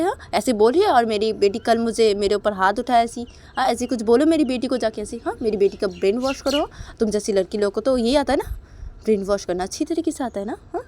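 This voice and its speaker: A woman's voice: on the high side, darkish tone. A resentful middle-aged mother, as a female storyteller puts her on in an audio drama. She taunts with bitter sarcasm. Her accusations are repeated insistently.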